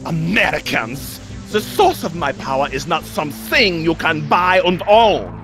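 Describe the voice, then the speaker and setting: A vain, campy vampire villain with a German accent, speaking slowly and haughtily. The pitch swoops theatrically from low to giggly high in cartoon style, with music behind it. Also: male voice, very animated. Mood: smug, grandiose. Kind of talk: animated comedy game voice acting.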